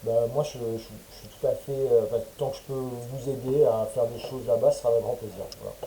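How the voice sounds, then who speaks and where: Dark-voiced hesitant Frenchman. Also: mid-range voice, steady tone, fairly quick, reverberant, slight background noise, rough recording. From mainland France. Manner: hesitant, many fillers and restarts. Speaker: interviewee, smart-city entrepreneur. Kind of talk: casual interview over a call.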